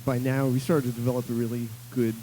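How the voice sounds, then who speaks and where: A man from upstate New York, a producer and musician, answering thoughtfully with many pauses and fillers. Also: mid-range voice, flat delivery, dark tone, a little hiss or hum, low-quality recording. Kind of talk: casual interview.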